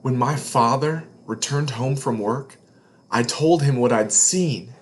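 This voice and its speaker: A man reading a picture book aloud to children as a read-along host, with deliberate, emphatic phrasing. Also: mid-range voice. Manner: deliberate phrasing, emphatic, explains words to young listeners.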